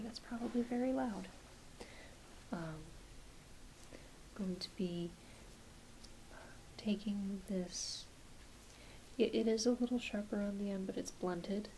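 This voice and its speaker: A woman playing a gentle, reassuring doctor in an ASMR exam roleplay, speaking softly and hesitantly, often half-whispering. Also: mid-range voice. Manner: soft, half-whispered, hesitant, apologetic bedside talk. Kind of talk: soft-spoken ASMR medical exam roleplay. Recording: faint steady hiss behind close voice.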